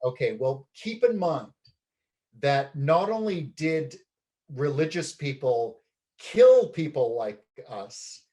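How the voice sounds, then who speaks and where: A man from Canada, a documentary film director, giving a measured, reflective interview with many ums and pauses. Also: fairly high pitch, big pitch swings, mellow.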